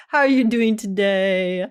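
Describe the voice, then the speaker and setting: A woman's voice: mid-range voice, clear, resonant tone. A woman livestreaming a game in an informal, giggly manner, chatting casually and reading text aloud. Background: game music.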